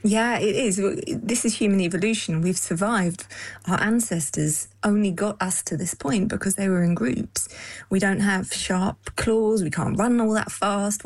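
A woman's voice: mid-range voice, no echo. A woman explaining things in plain terms as an expert interview guest.

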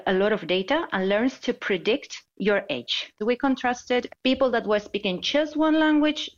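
A woman's voice: medium pitch, dull audio. A woman researcher explaining her study in an interview, with small restarts. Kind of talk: interview answers.

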